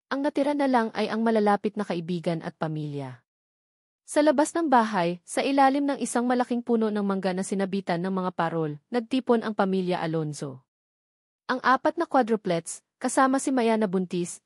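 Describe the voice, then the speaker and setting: A woman with a Filipino accent reads a scripted love story in an expressive, sentimental storytelling manner. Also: mid-range voice, dry recording, clean studio sound. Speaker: story narrator.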